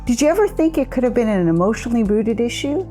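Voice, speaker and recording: Woman, co-founder, measured explainer, fairly high voice, soft music behind. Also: big pitch swings. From immigrant. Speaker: co-founder of a coaching method. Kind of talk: scripted promotional conversation.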